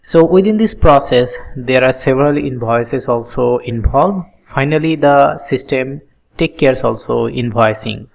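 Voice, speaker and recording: Tutorial instructor, medium-pitched voice, explanatory and methodical, with halting phrasing. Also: creaky. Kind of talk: explanatory software tutorial lecture with examples.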